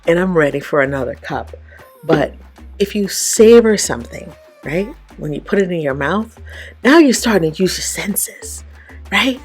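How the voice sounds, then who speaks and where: A woman with a raspy voice giving casual motivational advice to her viewers, often checking in with "okay?" and "right?". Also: low voice. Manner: chatty, frequent "okay?" and "right?" check-ins. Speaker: vlogger and life coach. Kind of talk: casual motivational talk to camera.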